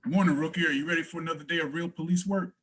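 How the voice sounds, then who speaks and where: A man's voice: fairly high pitch, fairly even intonation, very fast, fairly bright tone, voice pushed up, lightly acted. A man reading the part of a cocky, jovial veteran police officer in a film script read over a video call. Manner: boastful, breezy. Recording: faint noise, video call.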